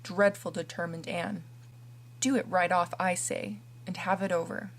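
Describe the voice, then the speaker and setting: Woman reading a timid elderly farmer, hushed and hesitant. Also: lowish voice, flat delivery, measured pace, creaky. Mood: coaxing. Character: shy, kindly elderly male farmer.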